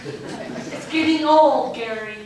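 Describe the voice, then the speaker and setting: An actress playing a hardworking, testy prairie horse doctor in a stage radio comedy. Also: female voice, high voice, slow. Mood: testy, brisk. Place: live radio theater stage. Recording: echoey theater, far mic, faint noise.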